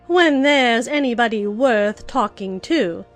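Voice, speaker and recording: A woman's voice: on the high side, animated, slow, soft background music. A woman voicing a haughty, bossy talking flower with an imperious, emphatic drawl in a children's audiobook. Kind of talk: dramatized children's audiobook acting.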